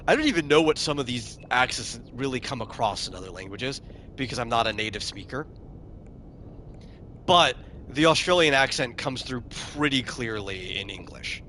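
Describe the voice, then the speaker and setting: A man's voice: medium pitch, expressive, normal speed, bright, forceful tone, a little hiss or hum. A man streaming a game, chatting casually and answering his chat, often repeating a word as he starts a sentence. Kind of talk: casual commentary while playing a game.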